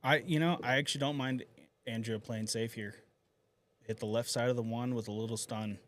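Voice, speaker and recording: A man's voice: mid-range voice, fairly even intonation, a little fast, a bit bright, a little hiss or hum. A man co-commentating a live pool match, chatty and opinionated, often restarting his sentences.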